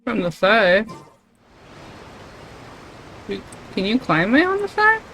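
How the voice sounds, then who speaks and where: Girl streamer talking to herself while gaming, kinda slow n bright, swears a bit. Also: fairly high pitch, big pitch swings, soft background music, rough recording. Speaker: livestreamer. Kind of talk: casual game stream commentary.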